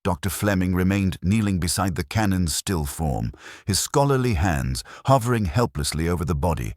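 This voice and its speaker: Very deep man narrating a mystery. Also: animated, darkish tone, no echo, studio quality. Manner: measured, literary, dramatic storytelling. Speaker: audiobook narrator. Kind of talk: scripted mystery story narration.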